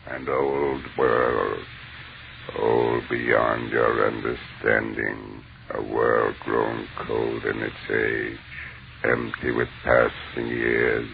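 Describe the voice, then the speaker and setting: An actor playing an ancient, contemptuous, hungry alien mind with a menacing, imperious delivery in a 1930s radio horror drama. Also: male voice, very deep voice, level tone, drawn-out words, gravelly, heavily acted. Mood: imperious contempt. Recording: tinny, noisy 1930s radio recording.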